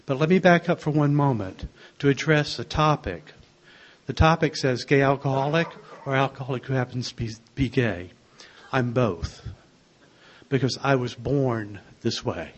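An older man with a soft voice, sharing his own story in a gentle, wry way. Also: medium pitch, moderate pace, highs cut off, a little hiss or hum. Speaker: speaker sharing a recovery story. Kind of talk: personal testimony to a group.

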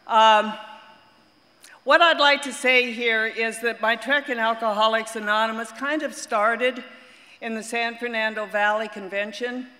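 An older woman with a loud, bright, forceful voice addresses a group. Her words ring with a long hall reverb. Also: fairly high pitch. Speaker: speaker at a recovery meeting. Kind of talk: personal testimony to a group.

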